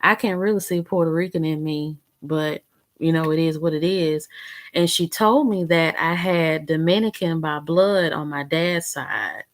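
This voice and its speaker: A young woman talks straight to her viewers in a loose, rambling way, stringing thoughts together with "um" and "you know." Her voice sits a little low for a woman. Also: measured pace. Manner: chatty, rambling, conversational storytelling with fillers. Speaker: vlogger. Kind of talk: casual chat.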